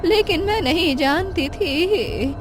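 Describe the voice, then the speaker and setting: A woman voicing a frightened, remorseful old village mother in an animated horror story, speaking in trembling, stammering pleas. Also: extremely high pitch, takes it slowly, exaggerated voice. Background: music.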